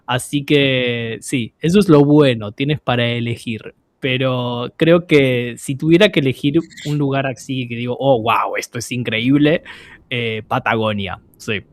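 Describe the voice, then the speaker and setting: Relaxed Argentine man, dry sound. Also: mid-range voice, a little slow, no room sound. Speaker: podcast co-host, Spanish teacher. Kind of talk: casual chat.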